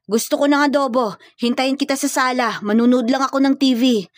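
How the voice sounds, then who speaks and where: A woman's voice: high voice, steady tone, fairly quick, no room sound, clean studio sound, natural voice. A woman voicing a bossy young male hired killer in a story, commanding and coolly threatening.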